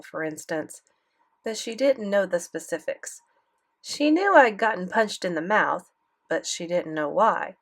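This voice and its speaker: A defensive young schoolboy, played by a woman confides his side of an audiobook story. He talks colloquially at a middling pitch, keen to be approved of. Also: close to an everyday voice. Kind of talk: first-person audiobook narration.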